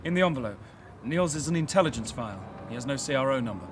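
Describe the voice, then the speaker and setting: An actor playing a young, put-upon detective constable, earnest and dutiful, in a British radio crime drama. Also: male voice, medium pitch, animated, quick pace, background noise, natural voice. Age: young adult.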